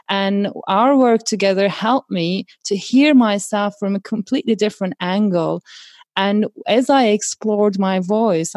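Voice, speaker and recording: A woman hosting a podcast, soft-spoken, interviewing her former voice coach. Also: medium pitch, no echo. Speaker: podcast host.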